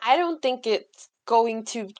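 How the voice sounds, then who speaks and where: A woman's voice: on the high side, takes it slowly, a little mellow, dull audio. A woman player in a tabletop roleplaying game, chatting casually in a joking, self-deprecating way.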